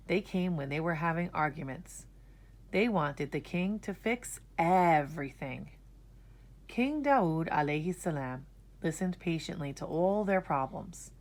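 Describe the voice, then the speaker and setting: A woman storyteller reading a children's storybook aloud in a deliberate, expressive way. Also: deep voice.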